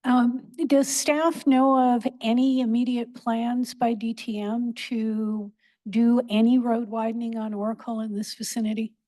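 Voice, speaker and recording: A woman's voice: fairly high pitch, level tone, measured pace, dark tone, low-quality recording. A woman on a city board asking pointed, detailed questions at a public hearing. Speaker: board member. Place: council chamber.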